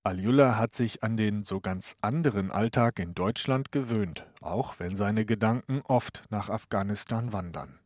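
Male news reporter, low and dry, measured scripted read. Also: darkish tone, no echo. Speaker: radio reporter.

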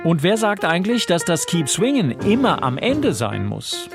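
A man presenting a radio program in a relaxed, wry way, interviewing a guest. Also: high-pitched, moderate pace, gravelly, music in the background. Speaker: radio host.

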